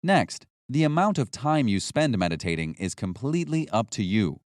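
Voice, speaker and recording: Man narrating with lively, varied intonation. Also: mid-range voice, normal speed, no echo, clean studio sound. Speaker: audiobook narrator. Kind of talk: scripted nonfiction audiobook narration.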